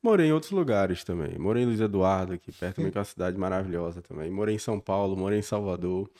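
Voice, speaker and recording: A man's voice: low-pitched, fast talker. A man in his forties from Bahia with a Bahian accent, a podcast guest telling his life story in an earnest way. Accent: Bahian Brazilian Portuguese. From Bahia, Brazil. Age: 40s. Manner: warm and earnest, frequent tag questions. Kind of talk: casual interview storytelling.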